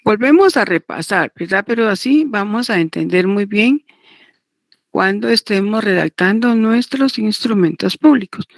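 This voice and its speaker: A woman giving a didactic lecture, partly read aloud, in a deliberate, repetitive manner. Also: mid-range voice, lively intonation, dry recording, clean studio sound. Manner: deliberate, repetitive, checks understanding with tag questions. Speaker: law lecturer teaching notarial law.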